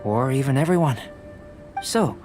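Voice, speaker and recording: An actor playing a calm, cerebral young alchemist in a video game, speaking in a measured, scholarly way. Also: male voice, medium pitch, music in the background, natural voice. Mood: calm, thoughtful.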